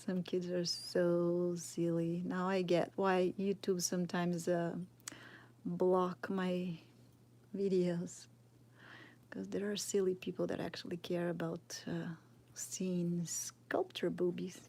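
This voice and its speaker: A woman's voice: lowish voice, little pitch movement, gravelly, warm tone, slight background noise. A woman in her thirties from Brazil with a Brazilian Portuguese accent, casually teaching sculpting live and thinking aloud, often dropping to a murmur as she works. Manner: slow, thinking aloud, drops to murmurs while working. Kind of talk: casual live tutorial, answering chat.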